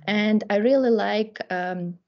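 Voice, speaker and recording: A woman's voice: mid-range voice, takes it slowly. Young woman student. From Ukraine. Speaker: master's student. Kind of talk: webinar self-introduction. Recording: muffled lo-fi webinar audio.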